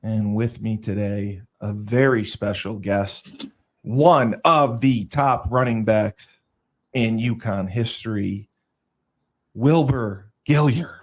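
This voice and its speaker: A man's voice: on the low side, takes it slowly. Jokey boastful male podcast host. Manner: jokey, boastful, self-deprecating. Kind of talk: casual sports podcast chat.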